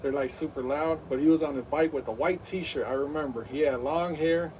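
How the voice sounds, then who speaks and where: A man's voice: a little high, brisk, a little mellow, distant mic, slight background noise, rough recording. Rambling man streaming a football game. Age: 30s. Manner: rambling, many fillers, hyped play-by-play. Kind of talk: live game stream commentary.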